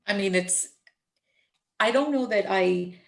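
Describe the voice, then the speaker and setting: A woman's voice: mid-range voice, slow pace, gravelly, a little hiss or hum. A woman interviewing an author, responding enthusiastically while she searches for her words. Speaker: library interviewer. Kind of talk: casual interview talk.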